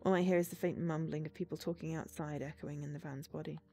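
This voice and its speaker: A woman with a slightly low-pitched voice reads visual novel narration aloud as a game streamer. Soft music plays behind her voice. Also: steady tone, fast.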